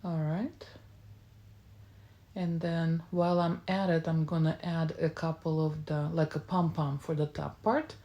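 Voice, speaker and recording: Woman doing a casual baking how-to, soft mellow tone, kinda low voice, non-native phrasing. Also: little pitch movement, a little slow, dull audio. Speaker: baking channel host. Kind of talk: casual hands-on tutorial narration.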